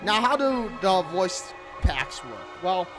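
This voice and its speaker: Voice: medium pitch, very animated, takes it slowly. A gaming video host giving a casual, rambling review, often repeating and rephrasing. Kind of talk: casual scripted-light game review commentary. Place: small room at home, indoors. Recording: music playing behind the voice. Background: background music.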